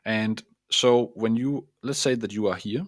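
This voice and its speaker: A man with a Danish accent talks through a self-help lesson in a low voice, restarting his sentences as he hunts for the right words. The sound is close and dry. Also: measured pace, no room sound. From Denmark. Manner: earnest, searching for words with restarts; explains while drawing a diagram. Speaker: transformative coach. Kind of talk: self-help video talk.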